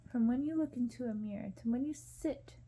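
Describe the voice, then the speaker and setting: Lady doing a storybook witch laying a curse, very soft dark tone, threatening. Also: on the high side, brisk. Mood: menacing. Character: menacing witch pronouncing a curse.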